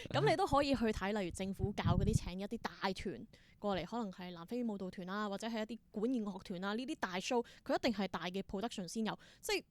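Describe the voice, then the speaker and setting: A woman's voice: mid-range voice, expressive, fast. A young adult woman with a Hong Kong Cantonese accent, chatting reflectively as an interview guest and mixing in English words. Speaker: interview guest, theatre performer and creator. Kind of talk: casual interview conversation.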